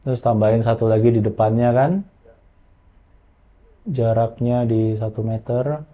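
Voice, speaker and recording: A man explaining software in an informal, hands-on tutorial, using colloquial tags as he goes. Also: medium pitch, steady tone, a little slow. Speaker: audio software trainer.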